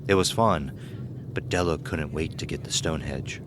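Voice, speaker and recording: A man's voice: very deep voice, normal speed, very noisy. A man reading a scripted horror story as narrator.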